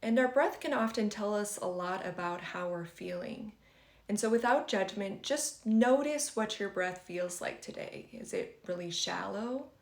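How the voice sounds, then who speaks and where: A woman leading a guided meditation for beginners in a gentle, conversational and reassuring way. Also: medium pitch, measured pace. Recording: roomy, echoing sound.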